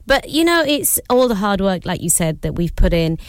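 A middle-aged woman hosts a radio chat, her voice a little hoarse yet smooth and resonant. She speaks in a friendly, encouraging way, recorded close and dry. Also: medium pitch, very animated, moderate pace, dry recording. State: slightly hoarse. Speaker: radio presenter. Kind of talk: casual radio interview.

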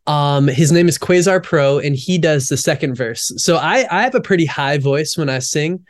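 A young man, a musician guest, telling stories in a relaxed way with fillers and frequent laughs over a video call. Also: fairly high pitch, moderate pace. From United States. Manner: relaxed storyteller, laughs often, many fillers. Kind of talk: casual interview chat.

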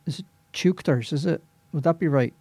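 A man's voice: fairly high pitch, moderate pace, a little mellow, slightly rough, dry recording. A man with a Dublin Irish accent hosting an evening radio music show, chatting casually with fillers and restarts. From Ireland. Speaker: radio host.

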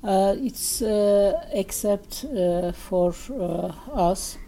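A middle-aged woman with a Turkish accent, a guest in a radio interview, speaking haltingly in English with many pauses and fillers. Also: medium pitch, dark tone, slight background noise.

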